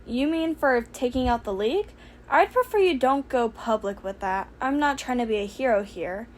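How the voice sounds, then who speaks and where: A mild, earnest teenage boy, acted by a woman in a fan fiction audiobook. The voice is high and casual, modest in tone. There is clear noise in the home recording. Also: expressive, normal speed, lightly acted. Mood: mild, curious.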